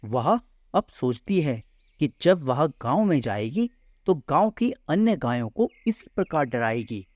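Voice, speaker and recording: A man narrating a scripted children's story in an expressive, deliberate storyteller's manner. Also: a little high, no room sound.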